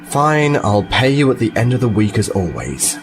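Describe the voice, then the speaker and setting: An actor playing a seasoned Starfleet officer in an audio drama, composed and matter-of-fact. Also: male voice, lowish voice, very animated, moderate pace, music in the background. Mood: irritated.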